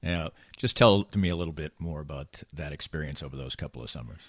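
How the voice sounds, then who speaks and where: Male podcast host, very deep voice, measured questions, dry close sound. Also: no echo. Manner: measured, asks long probing questions. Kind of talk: interviewing.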